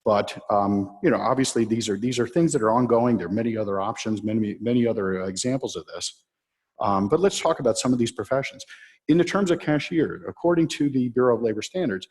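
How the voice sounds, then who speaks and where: A man's voice: mid-range voice, fast talker, breathy edge. A man giving a public talk on automation, walking an audience through video clips with frequent fillers. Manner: steady explaining, with frequent uh fillers. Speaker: presenter showing video clips. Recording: reverberant hall.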